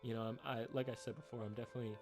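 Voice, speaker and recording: A man in his twenties rambling through a personal update, halting with many fillers and restarts, subdued by a rough time. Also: medium pitch, little pitch movement, darkish tone, soft background music. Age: 20s. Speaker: YouTuber.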